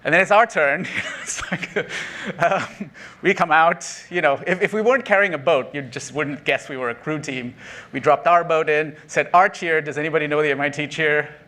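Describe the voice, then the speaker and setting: A man's voice: a little high, moderate pace, pushed and bright. A middle-aged man from Sri Lanka giving a keynote talk, chatty and self-deprecating, laughing at his own jokes with many ums. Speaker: conference speaker. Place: conference stage.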